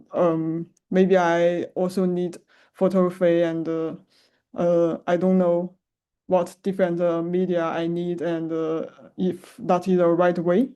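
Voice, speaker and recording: Slow hesitant woman, dark smooth voice. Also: on the low side. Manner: hesitant, many fillers. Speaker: masterclass participant. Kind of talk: presenting her project in an online class. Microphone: video call.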